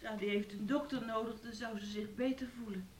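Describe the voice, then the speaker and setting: A woman's voice: fairly high pitch, fairly even intonation, brisk, natural voice. An actress playing a sick, weak, submissive woman in a radio play, pleading feebly with her lover. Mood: feeble, ailing. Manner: feeble, pleading, speaks of herself in the third person. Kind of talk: Dutch radio play acting.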